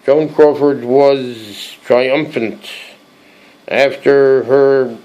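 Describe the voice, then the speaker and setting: A hoarse man with a cold hosting a casual show-and-tell, reading aloud from a book with many halting restarts and fillers. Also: mid-range voice, a little mellow, poor audio. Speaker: collectibles channel host.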